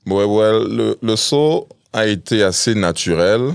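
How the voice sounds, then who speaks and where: A man's voice: fairly low pitch, animated, measured pace, gravelly, smooth, dry recording, studio quality. A man in his thirties from Cameroon, a podcast guest speaking casually with many hesitant fillers. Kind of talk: casual interview.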